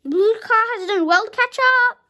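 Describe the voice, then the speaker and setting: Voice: very high voice, a little fast, sharp tone. A young child of about five reading a storybook aloud dramatically, sometimes stumbling over words and rereading them.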